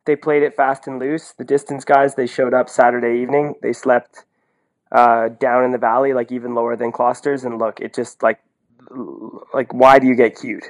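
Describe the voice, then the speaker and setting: A man's voice: medium pitch, level tone, moderate pace. Blunt man ranting casually about sports. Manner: blunt, incredulous, peppered with 'like' and rhetorical questions. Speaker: podcast commentator. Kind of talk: casual opinionated sports talk.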